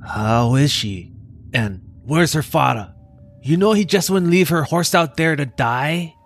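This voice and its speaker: A man's voice: on the high side, animated, measured pace, faint music behind, pitched above the performer's own voice. A man voicing a distraught, grieving teenage boy, pressing with pleading questions. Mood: anxious, upset.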